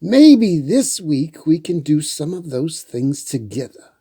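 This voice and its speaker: A storyteller voicing a warm but firm aunt from a children's picture book. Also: medium pitch, big pitch swings, slow, slightly rough, full character voice. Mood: impressed.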